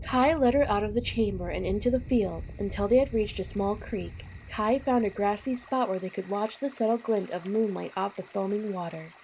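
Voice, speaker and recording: Mellow female audio drama narrator. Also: mid-range voice, moderate pace, few pauses, low-quality recording. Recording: clear noise with water sounds behind. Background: trickling water ambience.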